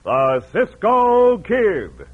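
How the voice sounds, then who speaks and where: A male announcer from old-time radio speaks over prominent organ music. His fairly high voice moves with lively swings in pitch, and the vintage recording is thin and tinny. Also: very slow. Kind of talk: 1940s-50s radio announcing.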